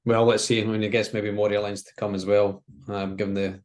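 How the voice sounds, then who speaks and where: A man with a fairly low voice and a flat, even intonation. He talks casually as an expert co-host, measured and a little tentative in how he puts his points. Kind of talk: casual discussion.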